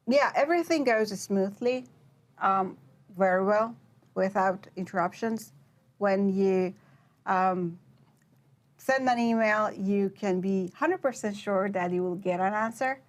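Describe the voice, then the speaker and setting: A young woman from Iran, answering interview questions as a guest, with many pauses and fillers. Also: medium pitch. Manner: hesitant, many fillers and restarts. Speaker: PhD researcher, guest.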